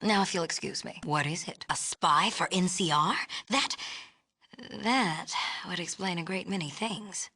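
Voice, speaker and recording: A stern female leader from a video game, ruling her closed city with disdain. Her voice sits low for a woman and has a husky edge. She speaks formally and in clipped phrases, with an imperious, superior air. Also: unhurried, sharp tone, full character voice. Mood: curt, formal. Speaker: game character voice actor. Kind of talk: scripted video game dialogue acting.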